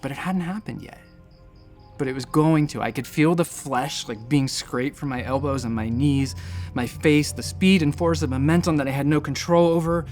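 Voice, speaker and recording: An actor playing an anxious, wry space station doctor who rambles and halts in a sci-fi audio drama. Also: male voice, fairly high pitch, a little mellow, faint music behind, natural voice. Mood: rattled, reflective. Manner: rambling, halting, self-interrupting. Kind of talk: audio drama acting, conversational narration.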